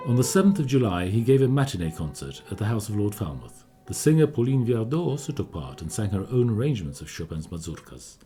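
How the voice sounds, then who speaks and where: A man playing a confiding, measured storyteller narrating history in a scripted radio play. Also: on the low side, very animated. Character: discreetly gossiping male narrator recounting a composer's history. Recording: studio radio play with music behind. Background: music.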